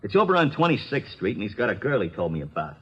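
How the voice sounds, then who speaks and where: An actor playing a tough, unrepentant condemned gangster who talks in rough slang, in an old radio crime drama. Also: male voice, fairly low pitch, big pitch swings, fast talker, a bit bright, dull audio, slight background noise. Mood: earnest.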